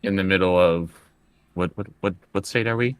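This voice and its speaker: A man's voice: deep voice, moderate pace, clear, resonant tone. A man playing a tabletop roleplaying game, speaking conversationally and hesitantly, often repeating words. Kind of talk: improvised in-character tabletop play, conversational.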